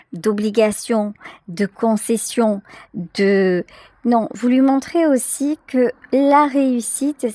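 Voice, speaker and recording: A woman giving a tarot reading straight to the listener, reflective and pausing to search for her words. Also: a little high, mellow, no room sound. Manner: hesitant, reflective, searching for words with pauses and repetitions. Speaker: tarot reader, channel host. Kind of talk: intuitive tarot reading addressed to the viewer.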